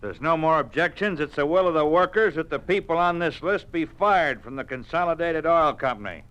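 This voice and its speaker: Actor as a forceful American union boss. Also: male voice, a little high, a little fast, natural voice. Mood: threatening. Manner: tough, folksy, intimidating. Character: menacing, blunt male trade union party boss. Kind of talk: 1950s radio acting. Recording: noisy old radio recording.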